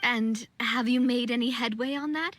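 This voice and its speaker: A woman's voice: a little high, steady tone, moderate pace, fairly bright tone, no room sound, close to an everyday voice. A woman voicing a young, confident, playful queen in a video game, with spirited but poised delivery. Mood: composed. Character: young female monarch, playful, confident, reassuring.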